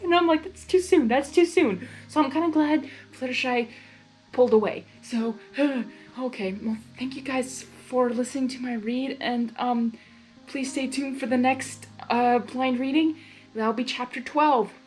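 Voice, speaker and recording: A woman reading fan fiction aloud in an informal, excitable way, with casual reaction asides between the narration. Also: sits high, unhurried, faint music behind.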